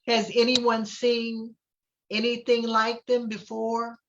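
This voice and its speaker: A woman reading the part of a proud, kindly grandmother who gently explains things to children in a storybook. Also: on the high side, completely flat delivery, takes it slowly, muffled recording, background noise. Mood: proud, gentle. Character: proud, kindly Ghanaian grandmother visiting a classroom.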